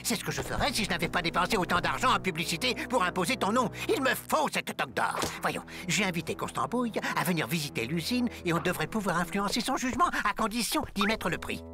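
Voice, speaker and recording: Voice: medium pitch, lively intonation, fast talker, few pauses, over music, natural voice. An actor voicing a greedy, scheming food-company boss in a cartoon, bossy and wheedling. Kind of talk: cartoon voice acting.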